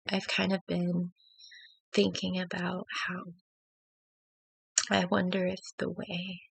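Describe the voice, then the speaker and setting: A woman just up from sleep speaks with a gravelly, husky voice that barely rises or falls. She muddles through her thoughts hesitantly, circling back with fillers. The sound is close and dry, as from a laptop at home. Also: fairly low pitch, monotone, drawn-out words, long pauses, no echo, rough recording. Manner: hesitant and reflective, many fillers and restarts. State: just woken up. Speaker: faith vlogger. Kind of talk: personal confessional talk to camera.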